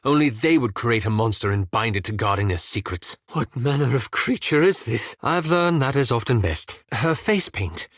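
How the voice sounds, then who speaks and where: A man's voice: mid-range voice, expressive, moderate pace, hardly any pauses, dry recording, clean studio sound, lightly acted. An actor playing a courteous, wry and anxious scholarly male elf companion in a fantasy video game, with formal, precise enunciation. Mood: thoughtful.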